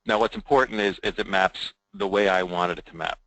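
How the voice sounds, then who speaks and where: A man giving an informal software demo as a presenter, in a conversational way that often checks in with the listener. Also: sits low, a little fast, no room sound, low-quality recording.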